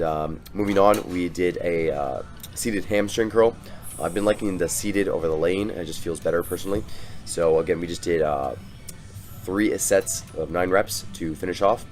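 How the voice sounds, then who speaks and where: A young man with a very low voice, hoarse from a cold, talks over his workout footage. He rambles in a loose, easygoing way, stopping often to restart a thought, while faint music plays underneath. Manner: relaxed, rambling, many fillers and restarts. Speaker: fitness vlogger.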